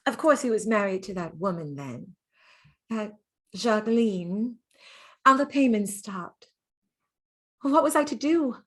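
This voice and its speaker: An actress playing a gentle, wistful dead mistress of a painter, reminiscing in a measured theatrical monologue. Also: female voice, mid-range voice, lively intonation. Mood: wounded, forgiving.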